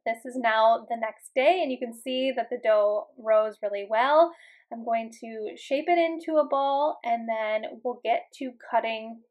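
A woman in her thirties with a somewhat high voice, talking through her home cooking in a relaxed, chatty way. Her speech is loose and unhurried, often broken by ums and uhs. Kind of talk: casual voice-over narration. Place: home kitchen voice-over.

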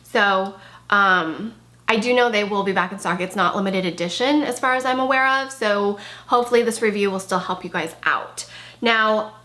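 A woman's voice: medium pitch, normal speed, sharp tone. A woman in her thirties talks through a makeup review at her desk, eager and chatty, often leaning on "really" and "like." Her voice is slightly worn, as she is losing it. She is recorded on a camera mic in her bedroom. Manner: chatty, enthusiastic, with frequent "like" and "really". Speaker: beauty vlogger. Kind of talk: casual product review. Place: bedroom with clothing rack, indoors.

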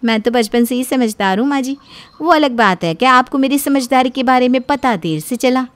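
A woman voicing a cheeky, outspoken younger daughter-in-law in an animated family story, with a sassy, complaining manner. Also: high voice, fairly quick, dry recording. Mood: breezy, cheeky.

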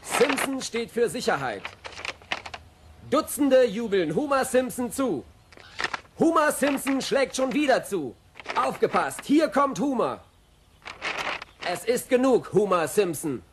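Excitable news announcer, very high, slow proclaiming, cartoon, noisy recording. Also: male voice, full character voice. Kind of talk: proclaimed headlines. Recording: noisy cassette with clicking sounds.

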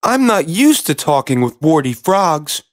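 A man's voice: fairly high pitch, big pitch swings, unhurried, voice pushed up. A man voicing a haughty, squeamish young princess in a storybook, with an indignant, imperious manner. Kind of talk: storybook character voice.

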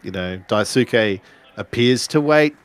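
A man playing a tabletop game, casually narrating what his characters do in a tentative, halting way. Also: mid-range voice, lively intonation. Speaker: roleplaying game player.

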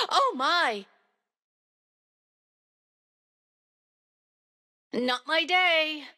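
A woman's voice: extremely high pitch, bright, forceful tone, long reverb tail, exaggerated voice. An actress playing an earnest, determined young woman warrior turned racer, in short, spirited video game lines. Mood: excited, exclaiming.

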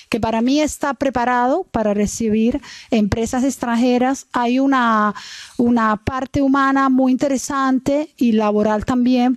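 A woman's voice: a little high, a little mellow, studio quality. A young Italian woman speaking Spanish with a Cuban accent, giving an earnest forum speech at a desk microphone in a large meeting hall, with some hesitant restarts. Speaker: business representative speaking at a forum. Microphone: desk microphone on a stand.

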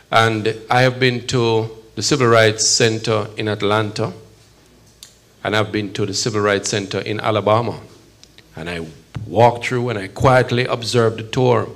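A middle-aged man with a Jamaican accent giving a measured, emphatic public speech at a podium microphone in an event hall. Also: lowish voice, fairly bright tone. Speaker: consul general, guest speaker at podium. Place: event hall, at a podium before a seated audience.

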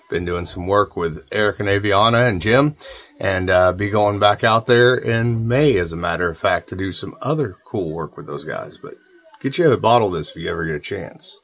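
A man's voice: fairly low pitch, a bit bright, breathy edge. A middle-aged man talking casually to his viewers in a folksy, informal way, as host of a video channel. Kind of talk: casual talking-head vlog. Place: small cluttered room at home, indoors.

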